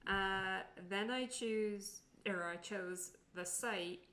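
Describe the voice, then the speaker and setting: A woman's voice: medium pitch, very slow, sharp tone. A woman reading out her character's options as a player in a tabletop game. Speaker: tabletop role-playing player.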